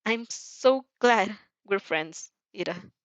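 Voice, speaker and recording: A woman's voice: mid-range voice, expressive, slow, dry recording. A woman voicing an earnest, emotional young student heroine in an audio fan fiction, sincere and heartfelt. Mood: tender.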